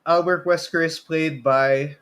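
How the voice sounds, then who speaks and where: A man's voice: fairly high pitch, steady tone, unhurried, fairly bright tone, clear, resonant tone. A man with a Filipino accent co-hosting a podcast in casual, chuckly chat, often hesitating and repeating himself. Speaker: podcast co-host. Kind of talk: casual chat about video game movies.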